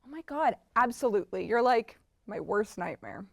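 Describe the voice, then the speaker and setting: A woman playing an earnest, anxious artist in an audio drama, talking in a casual, halting way. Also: medium pitch, animated, vocal fry, no echo, studio quality. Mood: placating, candid. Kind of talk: naturalistic audio drama acting.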